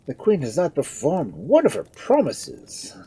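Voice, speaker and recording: A woman's voice: low-pitched, wildly swooping pitch, unhurried, warm tone, slight background noise, voice pushed up, full character voice. A woman voicing a complaining male doctor and timid plotter in a story, in a groaning, aggrieved manner.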